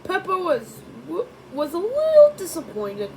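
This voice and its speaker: A high, very lively female voice reads a storybook aloud. She stumbles and corrects herself, slipping into informal asides. There is clear background noise behind her. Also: poor audio. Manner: halting, self-correcting reading. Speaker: story reader.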